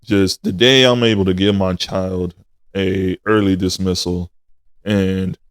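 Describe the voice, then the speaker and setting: A man in his twenties hosting a casual podcast, talking in a slow, reflective way and addressing listeners as y'all. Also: low voice, fairly even intonation, smooth, dry recording, clean studio sound. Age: 20s.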